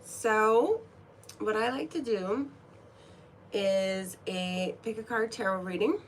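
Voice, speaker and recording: A woman's voice: mid-range voice, slow, slight background noise. A woman with a cold, sniffling, who chats casually and playfully with her stream viewers from her room at home before a card reading, giggling at her own jokes. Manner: chatty, playful, giggly asides. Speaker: tarot reader and streamer. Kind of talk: casual livestream chat.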